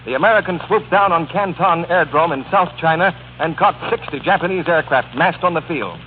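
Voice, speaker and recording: A man's voice: a little high, a little fast. A man announcing on 1940s radio, delivering an earnest, rhetorical scripted appeal straight to the listeners. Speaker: radio announcer reading a war bond appeal. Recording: tinny, noisy 1940s radio broadcast.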